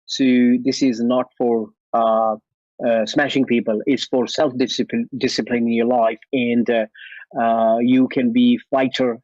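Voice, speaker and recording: A man's voice: mid-range voice, a little mellow, no room sound, muffled recording. A man from India with an Indian English accent, a martial artist and actor answering interview questions in a friendly, chuckling way with loose grammar. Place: at home after a workout.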